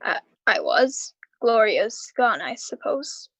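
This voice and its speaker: A woman playing a weary, heartbroken uncle in a live radio drama, with halting delivery. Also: on the high side, sing-song, breathy edge, no room sound, full character voice. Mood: weary, resigned. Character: weary, heartbroken uncle, slowly recovering after misfortune.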